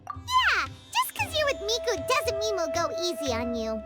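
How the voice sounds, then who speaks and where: A tiny fairy sidekick from a video game with an extremely high, childlike voice. She is bubbly, cheeky and excitable, and her pitch leaps about wildly. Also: moderate pace, over loud music, exaggerated voice. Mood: defiant. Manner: speaks of self in third person, excitable. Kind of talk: animated video game voice acting.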